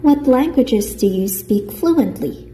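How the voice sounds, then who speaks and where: Slow, dark-toned woman interviewer. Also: fairly high pitch, expressive. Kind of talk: reading interview questions. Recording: echoey room.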